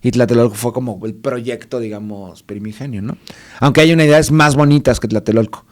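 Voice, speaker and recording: A man with a Mexican accent talks loosely on a panel show. He wanders off on tangents and corrects himself mid-sentence, keeping the tone relaxed and conversational. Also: mid-range voice, fairly quick. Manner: rambling, digressive, with frequent self-corrections. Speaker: co-host. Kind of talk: casual panel chat.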